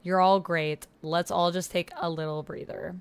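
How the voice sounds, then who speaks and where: Low-voiced woman chatting on a livestream. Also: little pitch movement, normal speed, clear, resonant tone. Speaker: livestream host playing a text roleplay game. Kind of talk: casual livestream chat, reading chat and scenes aloud.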